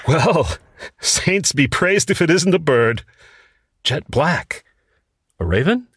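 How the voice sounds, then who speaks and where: An actor playing a grief-stricken, bookish widower who mutters anxiously to himself in a theatrical old-time radio horror monologue. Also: male voice, on the high side, lively intonation, slow pace, fairly bright tone, breathy edge, no echo, studio quality, heavily acted. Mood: wry, agitated. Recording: music under the voice. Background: prominent music.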